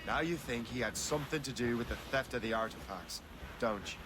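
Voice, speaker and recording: An actor playing a bold, self-assured young ship captain in a video game cutscene, giving short, firm replies. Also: male voice, medium pitch, a little fast, natural voice. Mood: resolute. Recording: game audio with loud sea noise.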